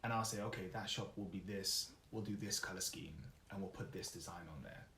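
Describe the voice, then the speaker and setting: Young man with a bright tone, laid-back guest in an echoey room. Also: sits low, a little fast, a little hiss or hum. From Wales. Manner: easygoing, laughs often, trails off with fillers. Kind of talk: casual interview chat.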